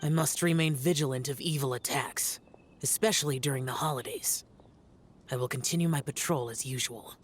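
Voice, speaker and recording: A man's voice: medium pitch, moderate pace, a little hiss or hum, lightly acted. A man voicing a stern, aloof young immortal demon slayer in a video game, speaking tersely and solemnly. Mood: stern, brooding. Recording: faint music behind.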